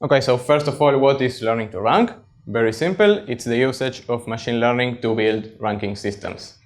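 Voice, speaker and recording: Argentine-accented man giving a halting tech talk. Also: mid-range voice. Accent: Argentine Spanish. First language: Spanish. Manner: halting, frequent pauses, plain explanatory. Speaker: conference speaker, data scientist. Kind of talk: technical meetup presentation. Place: meetup stage before an audience.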